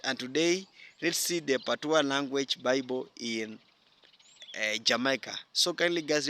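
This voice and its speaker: A man introducing his reaction video to viewers in a chatty, halting way. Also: mid-range voice, bright, forceful tone. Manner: chatty, repeats himself, addresses viewers as guys. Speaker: YouTube reaction host.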